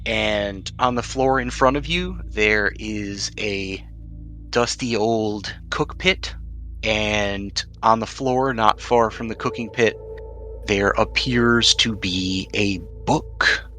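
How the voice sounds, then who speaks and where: A man's voice: on the low side, takes it slowly, sharp tone, faint music behind. A man acting as game master, describing scenes for a tabletop roleplaying game in a conversational way.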